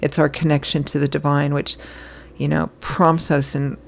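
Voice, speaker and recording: A woman reads tarot cards in a chatty, reassuring way. Her voice is hoarse and froggy, with a gravelly edge. Her pitch stays flat and even, and a faint hiss runs under the recording. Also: moderate pace. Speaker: tarot reader. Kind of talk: casual astrology reading.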